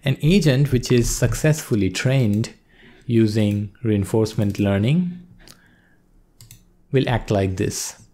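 A male instructor calmly explaining a coding task step by step in a screen-recorded lesson. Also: lowish voice, slow pace, long pauses. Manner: steady explanatory pace, walks through steps. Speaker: course instructor.

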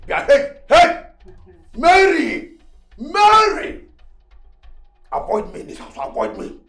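An actress playing a defiant, stubborn household servant with a Nigerian accent, in repetitive, theatrical outbursts, recorded with roomy echo. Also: female voice, high-pitched, huge pitch swings, slow, vocal fry, a bit bright, soft background music, heavily acted. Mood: indignant. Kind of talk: Nigerian comedy-drama film acting.

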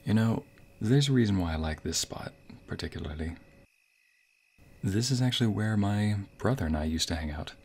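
A man acting a sweet, bashful young waiter on a romantic date in an ASMR roleplay, with a soft, breathy, playful and hesitant delivery. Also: fairly low pitch, lively intonation, normal speed. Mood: warm, bashful. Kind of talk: ASMR roleplay, intimate scripted boyfriend monologue.

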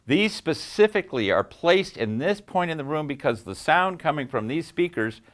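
A man's voice: a little high, animated, moderate pace, fairly bright tone. Emphatic older man explaining technical design. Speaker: audio engineer presenting his design. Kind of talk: technical demonstration talk. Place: small acoustically treated home theater room.

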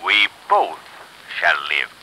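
An actor playing an unseen, commanding, ominous avenger in a 1930s radio mystery. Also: male voice, low-pitched, wildly swooping pitch, slow, pushed and bright, heavily acted, poor audio. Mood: stern, accusing.

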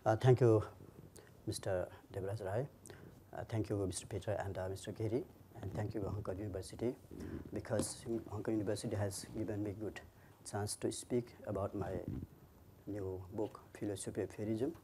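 A man's voice: on the low side, steady tone, normal speed, background noise. A man with a Nepali accent, an author giving a talk on his book in short, earnest phrases. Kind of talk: lecture.

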